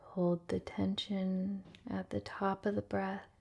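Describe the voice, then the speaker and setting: A woman leading a guided relaxation meditation in a soft, breathy, often near-whispered voice, gentle and soothing with long pauses. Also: mid-range voice, flat delivery.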